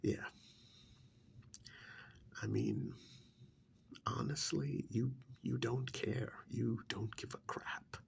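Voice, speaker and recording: A man playing a calm, menacing vampire emissary in a soft-spoken ASMR role-play, half-whispering in a slow, condescending way. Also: medium pitch, slight background noise, full character voice. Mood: cold, quietly threatening. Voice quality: breathy, half-whispered, creaky. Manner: slow, deliberate, condescending, hesitant pauses.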